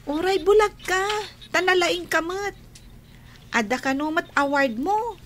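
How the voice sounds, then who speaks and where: A woman's voice: very high-pitched, unhurried, a bit bright, slight background noise. A woman with an Ilocano accent playing a caring, devoted young sister in a radio drama. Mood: tender, reassuring.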